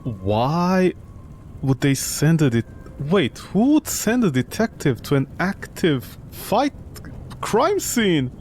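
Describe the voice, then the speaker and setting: A man's voice: fairly high pitch, big pitch swings, slow pace, darkish tone, a little hiss or hum. A man giving joking let's play commentary as a gaming streamer, sarcastic and incredulous.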